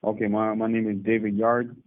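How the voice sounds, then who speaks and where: A man in his thirties from Barbados, calling in from prison and telling his story calmly, with hesitant restarts. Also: lowish voice, a little fast. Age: 30s. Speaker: guest. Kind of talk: phone interview. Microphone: phone call. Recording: tinny phone line.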